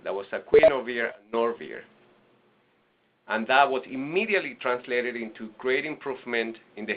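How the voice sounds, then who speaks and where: A man from Venezuela, a nonprofit director, presenting a webinar with halting restarts and fillers. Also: mid-range voice. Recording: lo-fi webinar audio.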